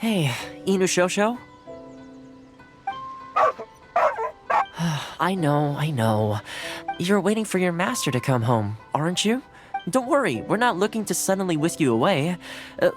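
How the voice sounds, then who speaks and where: Kind upbeat young game character, gentle and friendly, pitch moves around a lot, music underneath. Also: male voice, big pitch swings, normal speed. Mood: soothing, encouraging. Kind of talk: video game character voice acting. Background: background music.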